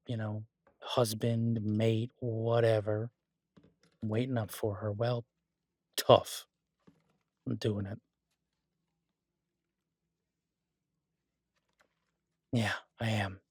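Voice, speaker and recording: A devoted husband and cook , acted by a man, speaks in a lowish, slightly husky voice, close and dry on the mic. He is easygoing and gently teasing. His delivery is casual, halting and reassuring, as in a one-sided audio roleplay for a loved one. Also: flat delivery, dry recording.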